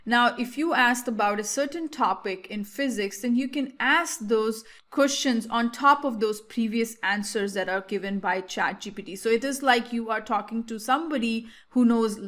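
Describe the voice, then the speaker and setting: Woman, native Hindi speaker, slightly high voice, explanatory tutorial host with filler-heavy delivery. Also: moderate pace, hardly any pauses, a bit bright. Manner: explanatory, with frequent fillers and restarts. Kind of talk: screen-share software tutorial.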